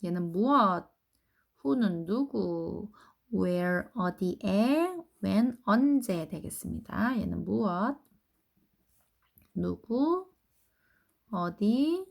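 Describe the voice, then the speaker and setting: A woman teaching English grammar to children, explaining each answer patiently and reading English sentences slowly before translating them. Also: mid-range voice, expressive, gravelly. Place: academy lecture recording.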